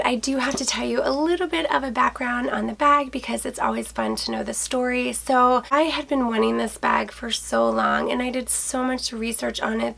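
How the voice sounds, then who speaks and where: A woman's voice: fairly high pitch, creaky. A woman in her thirties sounding nasal from a head cold, chatting cheerfully to camera in a casual handbag review from a small closet room. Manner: bubbly, chatty, addresses viewers as guys. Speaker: fashion vlogger. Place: small indoor closet room with shoe shelves.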